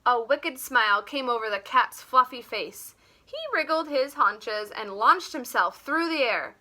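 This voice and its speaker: Female children's story reader, teacherly: slow, deliberate, highly varied intonation. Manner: animated, deliberate. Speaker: teacher reading a picture book aloud. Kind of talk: expressive children's story reading.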